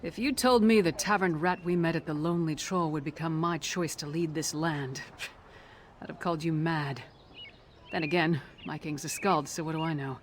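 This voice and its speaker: A man's voice: a little high, fairly even intonation, normal speed, slight background noise, natural voice. An actor playing a blunt, dry-humored male warrior companion in a video game, with faint music behind. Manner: blunt, dry, matter-of-fact.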